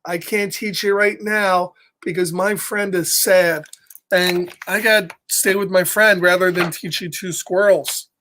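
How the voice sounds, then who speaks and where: A man voicing a caring, loyal female pig from a children's picture book, in a gentle, earnest manner. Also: high-pitched, steady tone, measured pace, slight background noise. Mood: consoling, apologetic.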